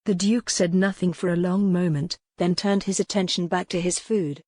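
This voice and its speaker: A woman's voice: on the low side, steady tone, normal speed, a little mellow, no echo, studio quality. A woman narrating a scripted historical romance audiobook.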